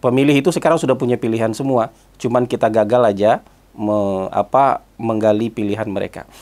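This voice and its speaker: A man with a stuffy, hoarse voice from a cold, talking through his commentary with lots of "ya" and "eh" fillers. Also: fairly low pitch, fairly even intonation, a little fast, darkish tone. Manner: conversational, frequent "ya" and "eh" fillers. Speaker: political commentator hosting his own channel. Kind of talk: solo spoken commentary.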